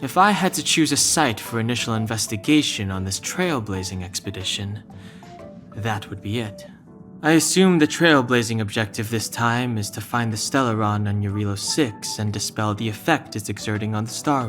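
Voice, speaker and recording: A man voicing a calm, serious, dry-witted young guard in an anime-style game, composed and deadpan. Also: on the low side, normal speed, lightly acted. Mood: calm, explanatory. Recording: game music under the voice. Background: background music.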